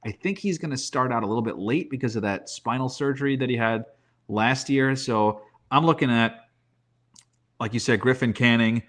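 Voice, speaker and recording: Guy doing casual baseball analysis, conversational, kinda thinks out loud, medium pitched voice, asks a lot of questions. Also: moderate pace. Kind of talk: casual sports talk, roster preview.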